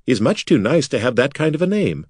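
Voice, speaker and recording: Guy doing a bossy lady wizard, pitched up, crisp n testy but kind, fantasy audiobook. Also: big pitch swings, brisk, no room sound, studio quality. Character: brisk, bossy but kindly woman wizard.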